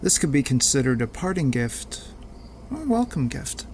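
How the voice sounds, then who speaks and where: An actor playing a smug, menacing crime lord in an audio drama, pompous and taunting with drawn-out mock politeness. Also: male voice, mid-range voice, big pitch swings, darkish tone, a little hiss or hum, natural voice. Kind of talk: audio drama villain acting.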